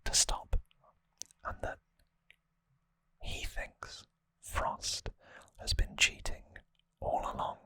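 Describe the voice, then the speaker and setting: An ASMR host speaks entirely in a crisp, bright whisper. The delivery is slow, gentle and a little hesitant, like an informal recap of trivia. The recording is close to the microphone. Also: no room sound. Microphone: close microphone, dry sound.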